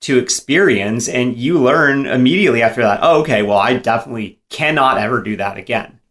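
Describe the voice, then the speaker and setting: A man's voice: mid-range voice, fairly quick, a bit bright. Guy in his 30s cohosting a podcast, chatty, kinda self-deprecating, says like a lot. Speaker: podcast co-host. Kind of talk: casual podcast chat.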